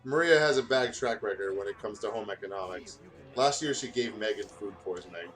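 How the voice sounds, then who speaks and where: A man's voice: lowish voice, brisk, fairly bright tone, faint music behind. A man who hosts let's plays, reading fan fiction aloud and chatting casually. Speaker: let's play host.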